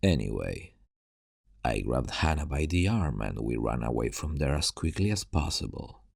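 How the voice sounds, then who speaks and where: A man playing a weary, haunted survivor of a zombie apocalypse in an ASMR roleplay, telling his story in a hushed, grave, halting way. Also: very deep voice, big pitch swings, gravelly, no room sound, studio quality, heavily acted. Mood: heavy, regretful.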